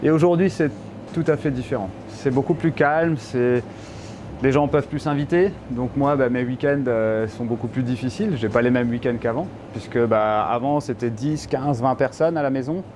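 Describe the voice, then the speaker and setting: A man in his thirties, a shop owner, explaining with animated gestures how business and online ordering work in a local TV interview. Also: mid-range voice, normal speed, background noise. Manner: explains with lively hand gestures. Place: inside a small bulk-food shop.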